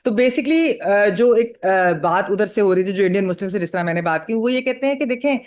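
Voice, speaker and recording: A woman with a Pakistani accent giving halting, discursive analysis as a panelist, mixing in English phrases. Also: mid-range voice, lively intonation, darkish tone. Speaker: panelist and film critic.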